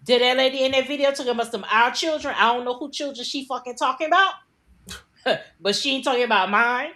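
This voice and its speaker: A woman's voice: high-pitched, fast talker, sharp tone. Fiery, profane American woman podcaster. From United States. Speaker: podcast co-host. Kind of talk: heated casual news commentary.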